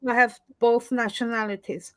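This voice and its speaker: A chatty woman with a Swiss French accent, her first language French, talking as an interview guest. Her voice sits a little high and sounds close and dry, with no room around it, as on a video call. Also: flat delivery, normal speed, no echo. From Switzerland, French-speaking. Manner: chatty, self-deprecating, laughs easily. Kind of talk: casual online interview chat.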